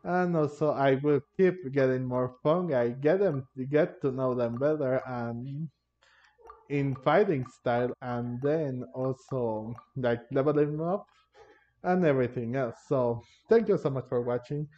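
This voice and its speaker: A man giving casual gameplay commentary as a video host, halting and searching for his words. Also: medium pitch. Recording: dull lo-fi mic, game music behind. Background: game music.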